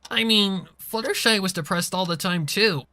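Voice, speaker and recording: A brash young mare in a story reading, played by a man. The voice is pitched up and blunt, pressing with challenging questions. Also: moderate pace, bright, forceful tone, no room sound. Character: brash, questioning young female cartoon pony.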